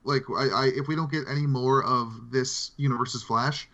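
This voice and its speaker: A man's voice: medium pitch, steady tone, normal speed, bright, forceful tone. Muffled man chatting on a podcast. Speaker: podcast co-host. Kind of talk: casual movie discussion. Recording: dull, muffled lo-fi voice track.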